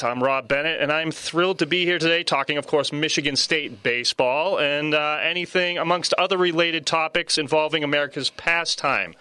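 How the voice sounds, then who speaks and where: A man's voice: fairly high pitch, sharp tone, smooth, no echo. A man hosting a sports podcast in an upbeat interview style, recorded in a studio. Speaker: podcast host.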